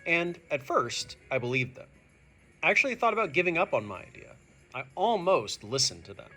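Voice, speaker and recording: Animated male teacher reading aloud, bright voice. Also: fairly high pitch, fairly quick, soft background music. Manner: expressive, addressing young listeners directly. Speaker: teacher reading a picture book to students. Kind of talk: animated children's read-aloud.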